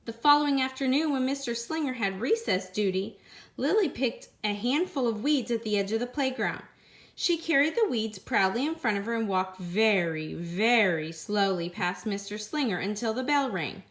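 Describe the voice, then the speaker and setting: A woman narrating a children's picture book aloud in a deliberate, expressive, teacher-like way. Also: on the high side.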